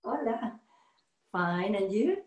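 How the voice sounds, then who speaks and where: A middle-aged woman from Argentina with an Argentine Spanish accent. She is a yoga teacher being interviewed, speaking halting second-language English with many restarts and frequent laughter. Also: mid-range voice, expressive. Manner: laughs often. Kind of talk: casual interview conversation. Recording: roomy echo.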